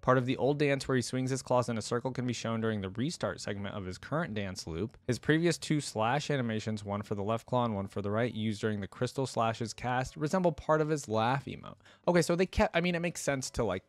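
A young man hosts a reaction video in a chatty, informal way. His voice sits at a middling pitch. He moves between casual comments and reading aloud, recorded dry and close on a desk microphone. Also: animated, fairly quick, few pauses, no room sound. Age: young adult. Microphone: desktop microphone close to the mouth.

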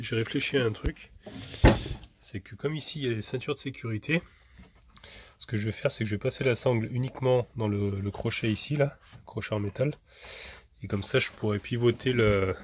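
A man's voice: mid-range voice, slight background noise. A man vlogging casually inside a camper van, explaining a repair step by step with many restarts. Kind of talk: casual vlog narration.

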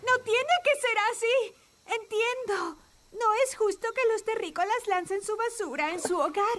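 Very high-pitched, earnest cartoon heroine. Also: female voice, moderate pace. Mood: pleading, reassuring. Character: brave, caring female animal hero leading a jungle rescue gang. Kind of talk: animated cartoon dub. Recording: music under the voice.

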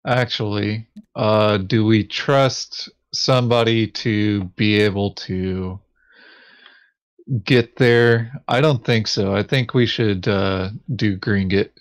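A man's voice: lowish voice, fairly even intonation, takes it slowly. A man chatting casually as he plays a board game, hesitantly thinking aloud. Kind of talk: casual game play chat.

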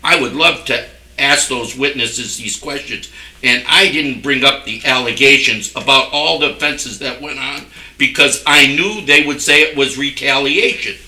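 An older man argues his case at a board meeting in a very sharp, forceful voice. He is defensive and insistent, and his words come from some distance in an echoey room. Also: mid-range voice, moderate pace. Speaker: town select board member. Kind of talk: argumentative speech at a public meeting. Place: wood-panelled municipal meeting room.

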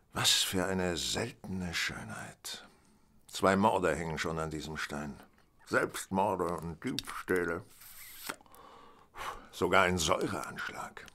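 An actor playing an assured, keen-witted gentleman detective in an audio drama, speaking in a theatrical, deliberate way. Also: sits low, gravelly, breathy edge, heavily acted. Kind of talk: German audio drama acting.

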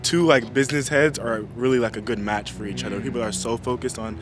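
Young man from Texas, casual joking student, middle pitch. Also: steady tone, quick pace, music in the background. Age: young adult. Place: outdoors on campus.

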